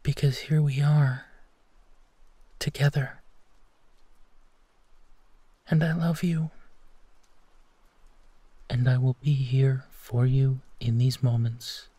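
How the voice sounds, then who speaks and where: A man playing a gentle, protective werewolf who tenderly reassures his partner in an intimate bedtime roleplay, leaving long pauses. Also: mid-range voice, level tone, breathy edge, dry recording. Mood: tender, reassuring. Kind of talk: intimate roleplay audio, soft bedtime comfort.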